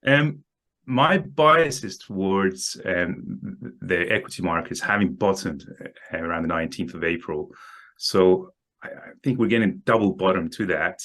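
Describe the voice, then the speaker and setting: A man with a low voice answers questions as a guest on a market show. He speaks haltingly, repeating words and filling pauses with "um." He sounds like he is working out his answers as he goes. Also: expressive, a bit bright. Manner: hesitant, many ums and repeated words. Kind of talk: casual interview answers.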